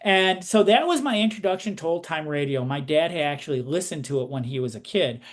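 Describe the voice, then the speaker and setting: Higher-voiced man hosting an interview. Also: animated, a little fast. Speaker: interview show host.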